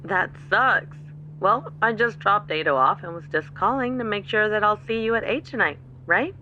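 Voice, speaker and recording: An actress playing a caring, upbeat friend on a phone call in an audio drama. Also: female voice, medium pitch, normal speed, slight background noise. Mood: friendly, concerned.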